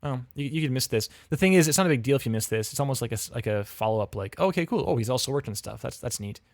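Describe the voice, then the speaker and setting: A man talks casually into a close desk mic, giving frank, chatty feedback with lots of restarts and fillers. His voice sits at an ordinary middle pitch, and the sound is dry and close with no room echo. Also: lively intonation, racing. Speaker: livestream host reviewing game audio reels.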